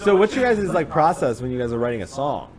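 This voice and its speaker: A man's voice: mid-range voice, sing-song, fast, crowd noise. A man hosting a podcast in casual chat, rambling and filling his talk with "like" and "you know what I mean". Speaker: podcast host.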